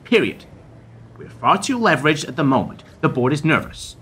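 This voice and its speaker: A man acting a cold, blunt bank executive in an amateur radio play, talking in brisk, clipped lines. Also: fairly high pitch, big pitch swings, fairly bright tone, a little hiss or hum. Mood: stern. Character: cold blunt male corporate banker. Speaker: bank executive delivering bad news.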